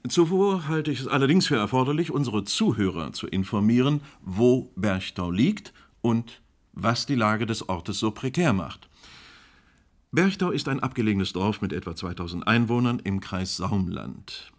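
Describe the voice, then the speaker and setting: A man's voice: on the low side, expressive, moderate pace, close to an everyday voice. An actor playing a formal, courteous but firm male moderator of a live crisis panel in a German radio drama. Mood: pointed, factual.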